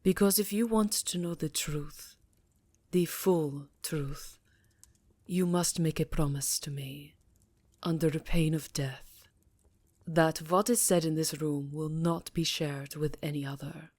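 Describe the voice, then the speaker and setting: A woman acts out an elegant vampire countess in a low voice, close and dry. She murmurs formally and seductively to the listener as in roleplay ASMR, taking her time over each phrase with a breathy, theatrical touch. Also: fairly even intonation, slow, darkish tone, dry recording. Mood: grave, pleading. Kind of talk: roleplay ASMR acting, intimate address to listener.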